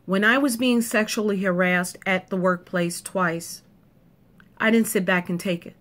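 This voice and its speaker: A woman's voice: medium pitch, fairly even intonation, a little slow, a bit bright. A woman delivering an emphatic, punchy opinion monologue to camera, repeating points for effect. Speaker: video commentator.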